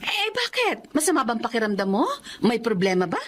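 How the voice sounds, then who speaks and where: A woman playing a caring, concerned middle-aged mother in a radio drama, solicitous and expressive. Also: on the high side, brisk, a little hiss or hum.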